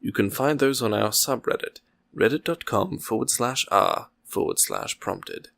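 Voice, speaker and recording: A man's voice: medium pitch, unhurried, gravelly, fairly bright tone, no echo. A man presenting a story podcast and narrating short fiction from a script. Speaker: podcast host and story reader.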